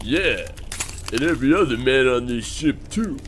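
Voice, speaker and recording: A man's voice: mid-range voice, expressive, moderate pace, background noise, close to an everyday voice. A man playing a grandiose, commanding monster villain in a comic radio play. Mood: pompous, gloating. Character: pompous, villainous male monster posing as Santa. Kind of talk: parody radio play acting.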